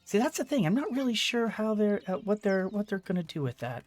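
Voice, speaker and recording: A woman gently thinking aloud as she plays a farming game for her channel, her throat a little gunky today. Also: mid-range voice, very animated, a little fast, faint music behind. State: slightly congested throat. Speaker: gaming YouTuber. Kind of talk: let's-play commentary.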